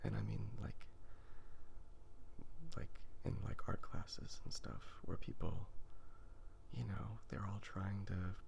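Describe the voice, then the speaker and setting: A man talking softly in a breathy half-whisper, rambling casually in an ASMR style, hesitant and often trailing off. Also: low voice, big pitch swings, slow, pauses often, a little hiss or hum. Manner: hesitant, trailing off, thinking aloud. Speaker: ASMR video creator and artist.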